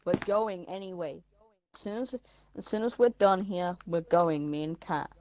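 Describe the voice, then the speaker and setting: A woman's voice: fairly low pitch, moderate pace, no room sound, close to an everyday voice. A woman playing a stubborn, defiant young runaway in an improvised game roleplay. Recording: loud music over voice.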